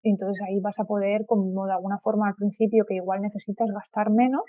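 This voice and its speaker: A woman's voice: medium pitch, flat delivery, quick pace, no room sound, narrow, tinny audio. A woman with a Castilian accent chatting casually as a guest, informal and rambling, over thin call audio. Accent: Castilian Spanish. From Spain. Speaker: podcast guest. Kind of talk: casual chat.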